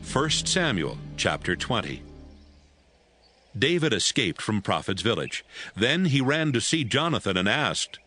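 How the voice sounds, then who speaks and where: A man's voice: medium pitch, sing-song, a little slow, pushed and bright, soft background music. A man narrating a dramatized scripture audiobook.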